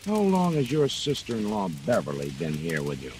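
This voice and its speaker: An actor playing an unflappable police captain who questions in a clipped, businesslike way in an old-time radio mystery. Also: male voice, on the low side, huge pitch swings, moderate pace, a little mellow, a little hiss or hum. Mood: pointed. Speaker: police investigator.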